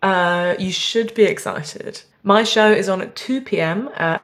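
A woman's voice: mid-range voice, measured pace. A young woman comedian chatting casually as a guest, jokey and self-deprecating. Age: young adult. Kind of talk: casual playful chat.

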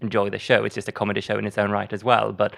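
A man's voice: on the low side, monotone, very fast, vocal fry, a bit bright. A man speaking as a comedian guest in a casual interview, playfully earnest and tongue-in-cheek.

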